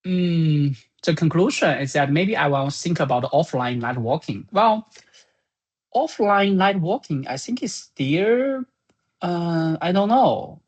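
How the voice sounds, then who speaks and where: A slow-talking speaker with a husky, slightly rough voice at a medium pitch. The delivery is informal and halting, like someone thinking aloud while going through meeting notes on a project. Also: lively intonation. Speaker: software creator presenting business plan.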